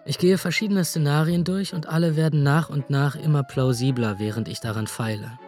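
An actor in a radio drama playing a young son who calmly ponders a cover story for his parents. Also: fairly high pitch, brisk, soft background music, lightly acted. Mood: brooding. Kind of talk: radio drama inner monologue.

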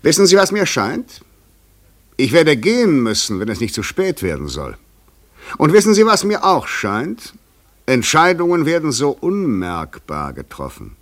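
An actor in a radio play playing a probing, insistent stranger who talks in a theatrical, poetic way, moving between soft and emphatic. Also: male voice, fairly high pitch, wildly swooping pitch, measured pace, exaggerated voice. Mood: musing, pressing. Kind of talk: German radio play acting.

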